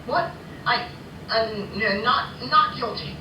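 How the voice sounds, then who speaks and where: An actor playing a frightened accused broadcaster, halting and emotional, in a radio drama. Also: medium pitch, far from the mic, noisy background, natural voice. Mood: panicked defiance. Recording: echoey playback over speakers, music behind.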